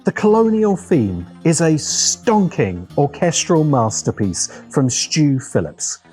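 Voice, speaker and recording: A man narrating a scripted video essay as host, in a deliberate, wry, opinionated manner with dramatic pauses and emphasis. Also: on the high side, very animated, darkish tone, soft background music.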